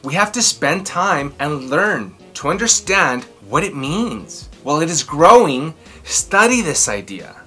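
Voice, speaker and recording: A man in his thirties hosting a children's read-aloud, telling stories and chatting in a theatrical, emphatic way with drawn-out words. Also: on the high side, sharp tone. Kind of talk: expressive storytelling and chatty commentary for children. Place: small furnished room indoors.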